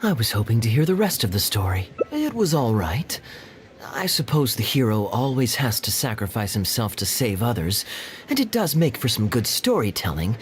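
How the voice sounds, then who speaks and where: An actor playing a suave, teasing, calculating male captain in a video game, with a wry, smooth-talking manner. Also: medium pitch, very animated, moderate pace, slight background noise, natural voice. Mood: cool, amused. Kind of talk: video game character dialogue. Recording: music under the voice.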